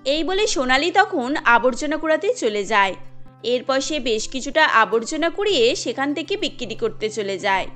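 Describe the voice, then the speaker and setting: A woman's voice: high voice, very animated, normal speed, a bit bright, faint music behind. A woman narrating a scripted cartoon story as a storyteller.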